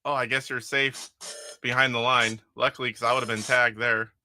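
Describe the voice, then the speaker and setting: A man's voice: medium pitch, little pitch movement, normal speed, bright, forceful tone, dry recording, clean studio sound. A middle-aged man hosting a casual live stream from his attic game room, rambling and chuckling as he plays and reads viewer comments aloud. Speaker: retro game streamer host.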